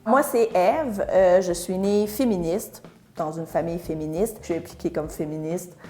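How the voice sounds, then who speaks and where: Low-pitched woman speaking earnestly. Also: moderate pace, dark tone. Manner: earnest, plain-spoken. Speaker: feminist activist. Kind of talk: personal testimony.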